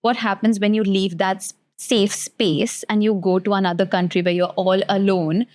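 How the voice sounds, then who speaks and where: A woman's voice: medium pitch, level tone, normal speed, darkish tone. Woman in her twenties chatting. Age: 20s. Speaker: talk show guest. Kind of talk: casual chat.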